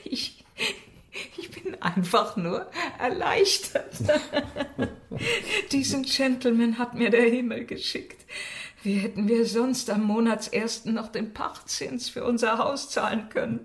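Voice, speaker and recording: An actress playing a proper married London landlady in a German radio drama, with an expressive delivery. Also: a little high, slow. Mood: relieved. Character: married London landlady, proper, deferential to gentlemen, bitter wife. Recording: echoing room, faint noise.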